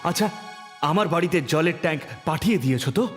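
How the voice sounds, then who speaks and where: A man's voice: a little high, animated, racing, big-room sound, soft background music, close to an everyday voice. An actor playing a gullible, gushing male government official in a cartoon.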